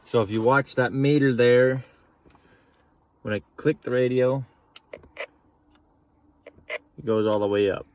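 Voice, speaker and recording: A man giving a relaxed, folksy how-to walkthrough from inside a truck. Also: medium pitch, takes it slowly, long pauses. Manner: relaxed, folksy explaining with casual slang. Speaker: mechanic demonstrating CB radio setup. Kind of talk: casual how-to narration.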